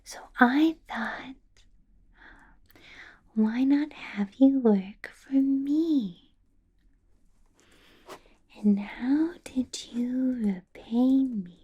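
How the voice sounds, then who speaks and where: A woman voicing a possessive, coolly menacing vampire boss in an ASMR roleplay, whispering slowly and deliberately with musing hums. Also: high-pitched, lo-fi sound, heavily acted. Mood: wistful, disappointed, then quietly displeased. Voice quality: soft, breathy whisper. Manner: slow, deliberate, drawn-out pauses, musing hums.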